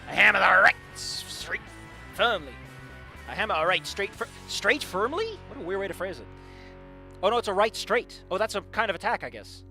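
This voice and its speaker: A man's voice: sits high, lively intonation, moderate pace, gravelly, pushed and bright, faint music behind. A man reading a fan fiction story aloud live, breaking off often to make casual, profane, joking comments about the writing.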